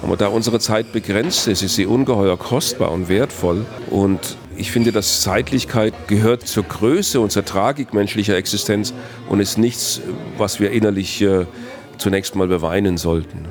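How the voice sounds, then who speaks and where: A man, a philosophy professor answering interview questions in a measured, reflective way. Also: fairly low pitch, music in the background. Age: 60s. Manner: measured, reflective, builds long reasoned sentences.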